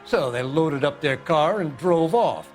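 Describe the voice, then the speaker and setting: A man's voice: a little high, animated, a little slow, faint music behind. An actor voicing a cranky, nosy old American man in a video game, irritable, defensive and folksy. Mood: boastful, animated.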